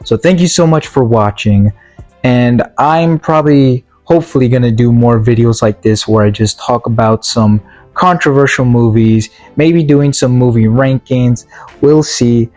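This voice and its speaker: A man talks through a casual review in a mellow, soft-edged voice at an ordinary pitch, sounding worn out. He rambles, restarts and leans on fillers as he goes. Faint music plays behind him. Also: unhurried. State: tired, worn-out voice. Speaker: video reviewer. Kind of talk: casual spoken movie review.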